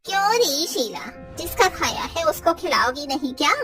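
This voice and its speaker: A nosy village neighbour woman from a cartoon moral tale, pushy and taunting , as a woman acts her. The voice is very high and slightly distant, with light music behind. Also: expressive, moderate pace, bright, forceful tone. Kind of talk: moral-story cartoon dialogue.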